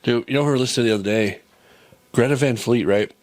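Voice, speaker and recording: A man's voice: mid-range voice, quick pace, darkish tone, no room sound. A man who sings in a band, guest on a casual podcast, talking about music in a laid-back way and swearing freely.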